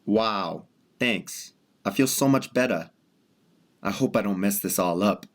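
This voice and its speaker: A man playing a nervous, earnest thirty-year-old in a radio drama, husky and hesitant. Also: fairly low pitch, a little slow, natural voice. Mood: anxious. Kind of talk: high school radio drama acting.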